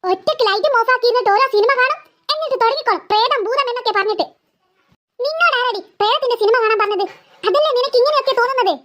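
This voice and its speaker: A woman plays an exasperated cartoon mother with a very high voice. She scolds impatiently and turns sarcastic with her children, in comic family skit style. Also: racing, a bit bright. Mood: scolding.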